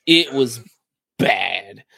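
A man's voice: mid-range voice, animated, drawn-out words, creaky, sharp tone, slightly rough, no room sound, studio quality. A man co-hosting a podcast with casual, wry banter and a sarcastic, jokey way of talking. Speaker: podcast co-host.

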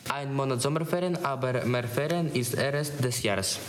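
A man's voice: mid-range voice, moderate pace, a little hiss or hum. Teenage Ukrainian-accented boy in echoey room. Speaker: student reporter. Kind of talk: scripted report.

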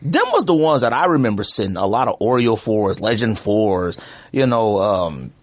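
An animated man co-hosting a casual podcast, his pitch rising and dipping freely as he tells stories. He laughs often. The sound is dry and close. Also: normal speed, no room sound. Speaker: podcast co-host. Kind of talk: casual chat.

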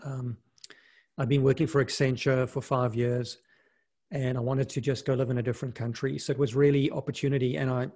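A man's voice: mid-range voice, fairly quick. A middle-aged man who grew up in South Africa talks casually as an interview guest, often restarting his sentences. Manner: relaxed, with frequent restarts and "you know". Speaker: guest, investment fund manager and mountaineer.

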